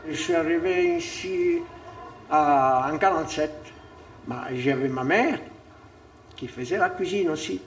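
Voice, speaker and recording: An older man looks back on his years as a pizza maker, speaking slowly and reminiscing. His voice is gravelly and rises and falls a lot. He is heard a little off the mic. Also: on the high side, big pitch swings, recorded from a distance, slight background noise. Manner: slow, reminiscing. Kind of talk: casual interview.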